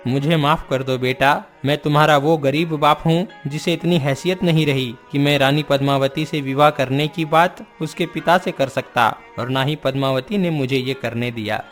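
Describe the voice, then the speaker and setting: A man's voice: a little high, moderate pace, few pauses, soft background music, close to an everyday voice. A man playing a poor, humble old father in a cartoon moral story, speaking in a tender, apologetic way. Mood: tender, sorrowful.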